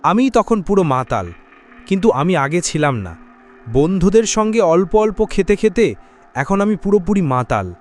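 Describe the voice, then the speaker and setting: A man narrating a cartoon ghost story in a plain, steady first-person telling. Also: high voice, moderate pace, faint music behind.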